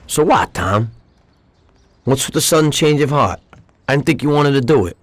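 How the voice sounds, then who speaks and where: A man's voice: medium pitch, animated, moderate pace, no echo, lightly acted. A man playing a blunt, streetwise gangster who schemes and gives instructions in a video game cutscene. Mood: urging. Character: scheming, brisk gangster planning a robbery. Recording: noisy street ambience with vehicles.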